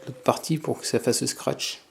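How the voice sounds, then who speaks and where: A man's voice: medium pitch, fairly even intonation, normal speed, gravelly, slight background noise. A man giving a casual hands-on tutorial, muttering informally step by step as he works. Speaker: motorcycle gear tutorial vlogger.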